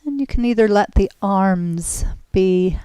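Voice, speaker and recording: A woman guides a relaxation session at a very slow, unhurried pace. Her voice is mellow and soft, turning breathy as phrases trail off. She is gentle and soothing, recorded close and dry. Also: mid-range voice, lively intonation, dry recording. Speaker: guided relaxation and yoga instructor. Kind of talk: guided relaxation, meditative instruction.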